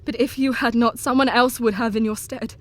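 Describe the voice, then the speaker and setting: A woman's voice: a little high, steady tone, brisk, close to an everyday voice. A woman playing a shaken young wife. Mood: tender. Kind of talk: audio drama acting.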